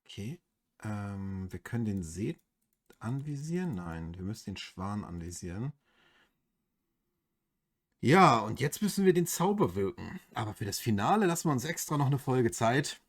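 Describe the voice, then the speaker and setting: A man with a German accent giving casual game commentary as a let's play host, in a husky voice. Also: on the low side, very animated, normal speed.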